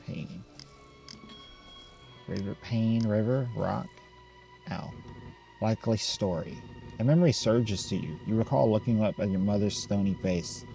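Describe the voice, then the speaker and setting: A man playing a game on a recording, reading its text aloud and thinking out loud with some hesitation. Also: fairly low pitch, measured pace, vocal fry, pauses often, soft background music. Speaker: gamer reading and reacting to a visual novel.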